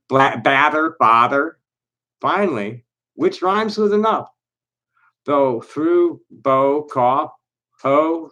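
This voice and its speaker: A man hosting a solo livestream, reading aloud slowly and deliberately, then chatting in a halting, rambling way with many restarts. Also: fairly high pitch, sharp tone. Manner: reads aloud with deliberate slow pauses. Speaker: livestream host. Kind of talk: casual solo livestream chat.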